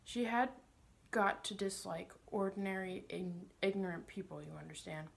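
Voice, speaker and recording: A woman's voice: mid-range voice, normal speed, creaky, lightly acted. A woman voicing a pompous, sly older male magician in a storybook, smug and condescending, with a wheedling manner. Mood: confiding.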